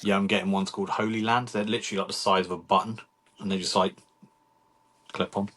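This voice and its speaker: A man co-hosting a casual podcast who is losing his voice and talks in a quick, offhand, joking way. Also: lowish voice, flat delivery, a bit bright. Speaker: podcast co-host. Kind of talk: casual chat.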